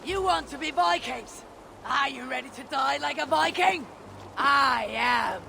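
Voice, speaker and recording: An actress playing a tough, proud female Viking warrior in a video game, deliberate and solemn, with a husky, gravelly voice. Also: sits high, animated, exaggerated voice. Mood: defiant. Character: tough, proud Viking woman warrior, loyal to her clan. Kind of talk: video game cutscene acting. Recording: noisy outdoor game ambience.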